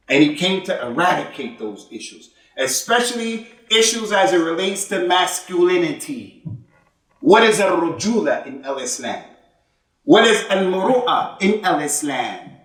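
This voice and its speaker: A man giving an emphatic sermon in a mosque, repeating points and asking rhetorical questions, with Arabic religious terms mixed into his English. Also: sits high. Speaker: imam giving Friday sermon. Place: mosque prayer hall. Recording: echoey hall, voice from some distance.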